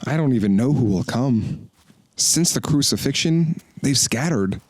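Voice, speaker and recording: An actor playing a wary, questioning disciple and builder in an audio drama, speaking with earnest intensity. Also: male voice, mid-range voice, animated, measured pace, a little mellow, no room sound. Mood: bitter suspicion.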